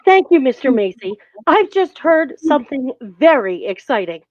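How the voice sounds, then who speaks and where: A woman acts a brisk, polished businesswoman in a forties radio play. Her high voice is very animated and persuasive, heard through slightly dull, lo-fi sound. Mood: eager. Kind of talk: 1940s radio acting.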